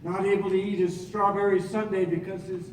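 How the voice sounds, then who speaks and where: Man acting a high-voiced, lovelorn middle-aged coach. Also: little pitch movement, a little fast, close to an everyday voice. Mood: bitter, wounded. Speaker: stage actor. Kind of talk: live stage radio play, 1940s film romance parody. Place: theatre stage, live performance. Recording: echoey, distant stage recording with noise.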